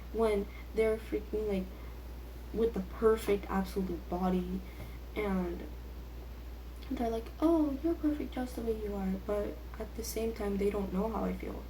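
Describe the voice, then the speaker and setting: Teen female vlogger, soft-edged relaxed tone, self-deprecating off-the-cuff chatter, recorded in a reverberant room. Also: mid-range voice. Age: teenager. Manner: self-deprecating, filler-heavy youthful talk. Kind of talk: casual rambling chat. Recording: echoey room with faint background noise.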